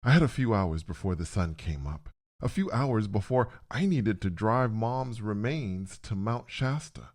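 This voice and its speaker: Male narrator for dramatic first-person fiction. Lowish register, unhurried delivery, very varied intonation. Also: animated, darkish tone.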